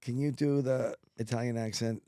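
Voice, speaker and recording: A woman's voice: a bass voice, steady tone, normal speed, dark tone, dry recording. Bantering woman podcaster, dry sound. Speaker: podcast co-host. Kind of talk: casual, bantering chat.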